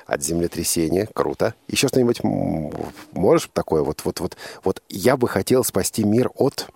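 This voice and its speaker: A man's voice: low-pitched, animated, normal speed, gravelly, a little mellow, breathy edge. A man hosting an informal radio interview, probing by repeating and rephrasing his questions. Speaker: radio host interviewing a young guest.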